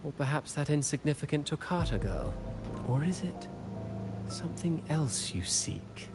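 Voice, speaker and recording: An actor playing a sly schemer in a video game, florid and formal, with mocking courtesy. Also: male voice, a little high, big pitch swings, measured pace. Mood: sly, needling. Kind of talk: theatrical video game acting. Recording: music behind the voice. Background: game music.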